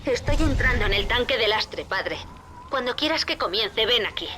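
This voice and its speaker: Woman doing a high voiced young daughter in a video game, urgent and devoted, noisy ambience behind. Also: animated, moderate pace, natural voice. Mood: determined, urgent. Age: young adult. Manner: urgent, instructing. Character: determined, devoted young daughter guiding her father. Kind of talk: dramatic video game acting. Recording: clear noise under the voice.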